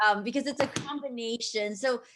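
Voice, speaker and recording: Female co-host and journalist, slightly high pitch, eager interviewer. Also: fairly quick, background noise. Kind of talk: interview questions.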